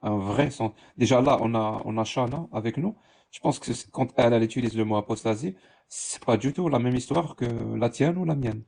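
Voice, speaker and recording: A man with a mellow, dark voice and strong creak explains his point informally on a panel. He halts and restarts phrases as he goes. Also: medium pitch, a little fast. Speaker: live discussion panellist. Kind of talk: casual explanatory talk.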